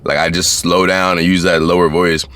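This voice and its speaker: Young guy with a super deep voice, laid-back, chatting advice at the camera. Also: level tone, normal speed, a bit bright. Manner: laid-back, chatty, addresses viewers as guys. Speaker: YouTube creator. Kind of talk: casual advice vlog to camera.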